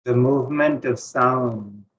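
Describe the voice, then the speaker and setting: A man with an Indian accent leads a guided meditation, speaking calmly and deliberately with pauses between phrases. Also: mid-range voice, a little hiss or hum. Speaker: meditation teacher. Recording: dull, lo-fi online session audio.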